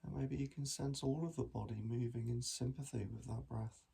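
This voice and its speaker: A man guiding a meditation in a gentle, measured manner with long pauses between phrases. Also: mid-range voice, fairly even intonation, vocal fry, husky. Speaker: mindfulness meditation teacher. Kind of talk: guided body scan meditation.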